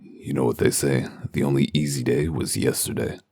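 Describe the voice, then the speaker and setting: A man voicing a gruff, sardonic werewolf detective in a comic dub, with a growling, commanding delivery. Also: steady tone, normal speed, darkish tone, no echo, full character voice. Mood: curt, weary. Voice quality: very deep, gravelly growl. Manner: dry, sarcastic, commanding.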